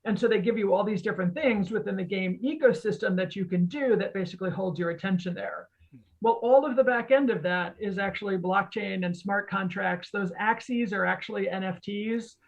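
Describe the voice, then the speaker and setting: A woman explains things as a guest expert in an informal, emphatic style. Her voice has plenty of creak and fry. The recording is somewhat dull and lo-fi. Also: mid-range voice, brisk. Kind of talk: conversational explanation.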